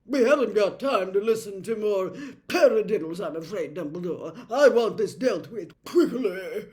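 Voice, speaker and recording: A woman voicing a pompous, impatient middle-aged male official who speaks loudly and loftily. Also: medium pitch, animated, moderate pace, pitched above the performer's own voice, natural voice. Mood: haughty. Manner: loud, lofty, snappish.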